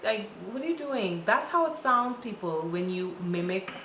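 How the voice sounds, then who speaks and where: A woman's voice: mid-range voice, expressive, moderate pace, a bit bright. A young woman with a Bahamian accent who is co-hosting a casual talk show and scolding with emphatic, exasperated energy. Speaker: podcast co-host. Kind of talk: casual opinion chat. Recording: echoey room with faint noise.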